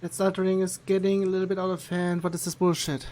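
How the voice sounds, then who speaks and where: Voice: medium pitch, level tone, quick pace, a little mellow, a little hiss or hum. A game streamer giving casual, rambling, hesitant commentary while playing.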